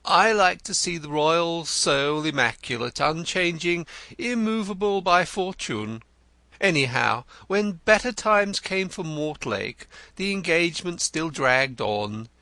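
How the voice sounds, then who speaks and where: Denzil, a man voicing a high, gushing vain poet. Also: expressive, takes it slowly, bright, forceful tone, dry recording, heavily acted. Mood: effusive. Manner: florid, gushing, stammers when pressed. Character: vain, theatrical male poet, nervous and effusive. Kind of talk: audiobook character reading.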